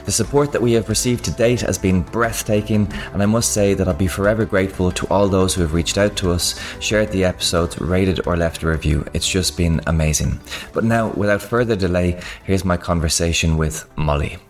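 A man's voice: low voice, steady tone, fairly quick, faint music behind. A young adult Irish man hosting a podcast interview, earnest and a little hesitant, with fillers and restarts as he talks. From Ireland. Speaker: podcast host.

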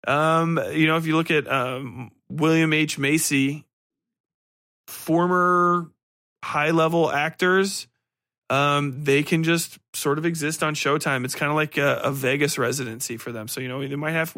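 A man's voice: mid-range voice, a bit bright, no echo, clean studio sound. A man hosting a solo podcast, giving casual review commentary in a rambling, think-aloud way with some casual swearing. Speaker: podcast host.

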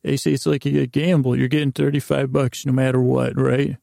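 A man hosting a podcast in a gravelly voice, delivering a whimsical monologue that meanders haltingly through tangents and self-interruptions. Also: mid-range voice, little pitch movement, mellow, no echo, studio quality. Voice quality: creaky, gravelly. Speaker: podcast host.

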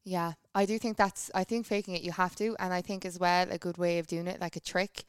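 Woman, podcast host, casual, quick, smooth, somewhat low voice. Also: no room sound, studio quality. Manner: relaxed, often checks in with "do you know?".

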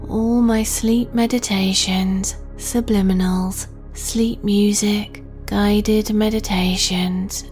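Soft whispery woman, very slow. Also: mid-range voice. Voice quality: soft, breathy half-whisper. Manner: slow, soothing, gentle delivery. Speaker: sleep meditation host. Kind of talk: whispered guided sleep meditation.

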